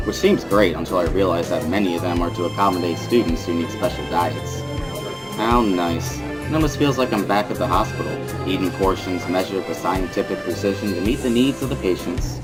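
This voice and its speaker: A man giving an understated, introspective first-person dramatic reading of a visual novel as its narrator. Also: low-pitched. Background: guitar music.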